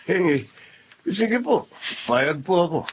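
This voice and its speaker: Earnest loyal engineer, emotive, polite, Filipino radio drama, dull hissy sound. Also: male voice, fairly high pitch, very animated, slow. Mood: pleased. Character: earnest wronged engineer, loyal husband saddened by betrayal. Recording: muffled radio drama recording, noticeable hiss.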